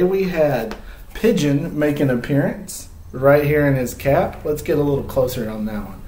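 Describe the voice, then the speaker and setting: A man in his thirties talks casually and amiably to young viewers as a read-aloud host. His intonation is animated, and the small room gives his voice an audible echo. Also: a little high. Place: small living room, indoors. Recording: roomy indoor echo.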